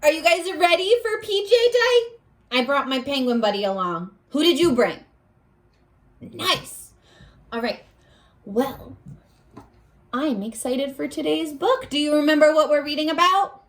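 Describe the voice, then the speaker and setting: A high-voiced woman teacher talks to young children in a read-aloud lesson. Her pitch swoops a lot, and she takes her time, pausing after questions. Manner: animated, addresses children with questions and pauses. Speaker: primary school teacher.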